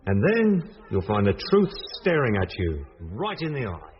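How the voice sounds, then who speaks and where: A man's voice: lowish voice, huge pitch swings, moderate pace, noisy background. British male detective, down-to-earth, emphatic, self-assured, swooping intonation, muffled radio drama. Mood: confident, dismissive. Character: cocksure, down-to-earth police detective brother. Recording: muffled radio drama, loud music behind.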